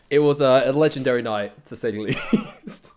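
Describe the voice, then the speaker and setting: Young British male guest, average pitch, casual slangy delivery, rambling anecdotal chat. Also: darkish tone. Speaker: interview guest, content creator.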